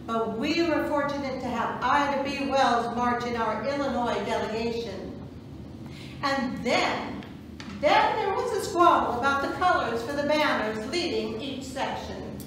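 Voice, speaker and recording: Woman reading as an earnest suffragist, high voice, distant. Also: close to an everyday voice. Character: earnest suffragist woman recounting a troubled march. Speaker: volunteer reader in a museum reading play. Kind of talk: amateur reader's theatre storytelling. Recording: very echoey, noisy room, distant mic.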